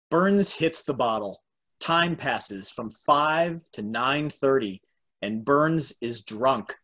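Casting: male narrator for stage directions, raspy mid-range voice, amateur script read. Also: medium pitch, expressive, slow, bright, forceful tone. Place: home, on a video call.

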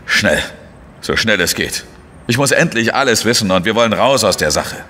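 Deep-voiced, lively crime investigator. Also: male voice, moderate pace, slight background noise. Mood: agitated, fed up. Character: weary, irritable male investigator and first-person narrator. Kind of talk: German crime radio drama, narration and dialogue.

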